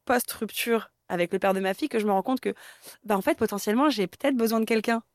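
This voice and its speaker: A woman's voice: medium pitch, quick pace, dry recording, clean studio sound. A woman in her thirties from Paris, speaking candidly in a conversational way as an interview guest. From Paris, France. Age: 30s. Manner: candid, conversational, many fillers.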